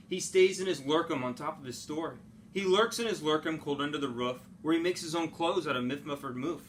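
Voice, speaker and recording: A man's voice: fairly high pitch, a bit bright, a little hiss or hum. A man reading a children's story aloud like a teacher, expressively and with dramatic phrasing.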